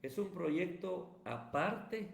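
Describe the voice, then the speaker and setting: A man talks in a high, ringing voice. He answers like a pastor in the pulpit, building emphatic runs of phrases. The room around him is roomy and echoey. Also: expressive, extremely slow, creaky. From Guatemala. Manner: emphatic, sermon-like cadence. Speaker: pastor and evangelist, guest. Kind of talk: preaching-style interview answers. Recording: roomy echo.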